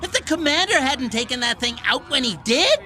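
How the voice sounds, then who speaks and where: High, bright young robot soldier, lively and urgent. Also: female voice, brisk, faint music behind. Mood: reproachful. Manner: urgent, shouting. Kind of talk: video game cutscene voice acting.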